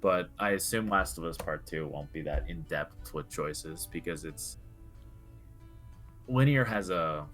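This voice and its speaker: A man co-hosting a video game discussion, speaking casually and haltingly as he thinks aloud. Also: sits low, fairly bright tone, soft background music.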